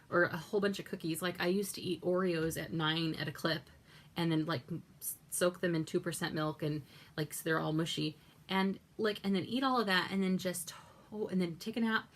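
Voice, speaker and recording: A woman with a raspy voice, chatting casually in a personal vlog and rambling with many fillers and self-corrections. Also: lowish voice. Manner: rambling, self-correcting, lots of likes and ums. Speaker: vlogger. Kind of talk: casual chat.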